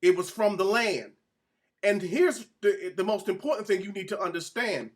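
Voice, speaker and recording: High-pitched man teaching scripture emphatically. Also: normal speed. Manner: emphatic, repeats phrases for stress, puts questions to listeners. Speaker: Bible teacher presenting a show. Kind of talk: informal teaching sermon with read-out scripture.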